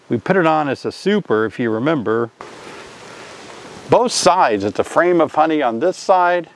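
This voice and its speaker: Chatty older man teaching beekeeping. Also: on the high side. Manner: chatty, asks viewers questions. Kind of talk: casual instructional vlog. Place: outdoors by a hive, then a covered porch.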